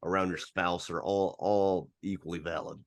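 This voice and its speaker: A man's voice: deep voice, fairly even intonation, moderate pace. A man co-hosting a casual film discussion, speaking reflectively and swearing freely. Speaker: podcast co-host.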